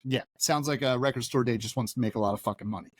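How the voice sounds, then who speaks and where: A man's voice: mid-range voice, fast, no echo. A man, co-hosting a casual podcast from his record shop, ranting and swearing with energy. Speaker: podcast co-host and record store owner. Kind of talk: casual chat.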